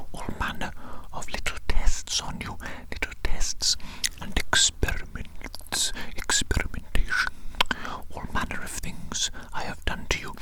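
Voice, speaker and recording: A performer whispering as an evil mad doctor in an ASMR medical roleplay, fussy and controlling, softly repeating phrases to a little minion. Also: extremely high pitch, rough recording. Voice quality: breathy unvoiced whisper.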